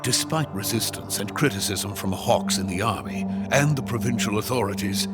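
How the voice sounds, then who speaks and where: A man's voice: lowish voice, normal speed, close to an everyday voice. A man playing an anxious, learned Soviet scientist in a video game, with an earnest, formal way of explaining. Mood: grave, explanatory. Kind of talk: dramatic video game voice acting. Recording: loud music behind the voice.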